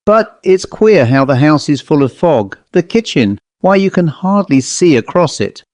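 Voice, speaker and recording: A man's voice: fairly high pitch, sing-song, a little slow, darkish tone, no echo. A man voicing a nervous, agitated manservant in an anxious, protesting way for a ghost story. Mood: uneasy.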